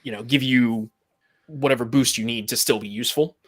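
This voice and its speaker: A man's voice: medium pitch, steady tone, fairly quick, fairly bright tone. A man in a casual podcast debate, making his points emphatically and repeating them. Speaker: podcast panelist.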